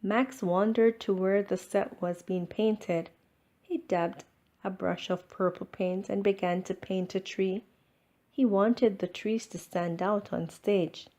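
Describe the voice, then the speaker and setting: A woman reading a children's storybook aloud as scripted narration, expressive and drawing out words. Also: mid-range voice, takes it slowly. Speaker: storybook reader.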